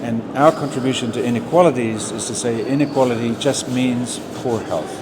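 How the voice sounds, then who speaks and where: A man with a New Zealand accent gives a guided tour of an exhibition. He speaks emphatically at a middle pitch, stacking his points in lists. Ventilation and gallery noise are audible around his voice. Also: brisk. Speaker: exhibition curator, architecture professor.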